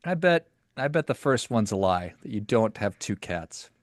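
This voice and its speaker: A man's voice: on the low side, very animated, moderate pace, no echo, studio quality. A man hosting a podcast, chatting casually and asking playful, teasing questions. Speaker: podcast host. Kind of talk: casual interview chat.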